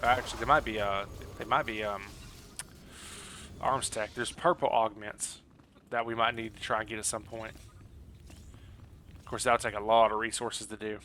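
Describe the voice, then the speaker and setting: A man's voice: fairly low pitch, fairly even intonation, normal speed, long pauses, pushed and bright, slight background noise. A man livestreaming a game, chatting casually in a friendly, rambling way as he thinks aloud. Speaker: game streamer. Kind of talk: casual livestream chat over gameplay.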